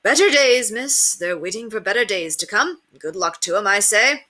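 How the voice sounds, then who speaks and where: A woman's voice: medium pitch, lively intonation, normal speed, pushed and bright, voice pushed up. A woman voicing a small, wiry, brash male street tout with clipped, cheeky, colloquial salesman patter in a storybook reading. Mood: breezy, condescending.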